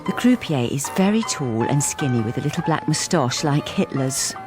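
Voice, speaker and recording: A wistful, lonely housewife tells her own radio-drama story in a confiding, understated way. Her voice sits fairly low and moves freely up and down, with music clearly behind it. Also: very animated, normal speed. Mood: wry, confiding. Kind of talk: radio drama narration and dialogue.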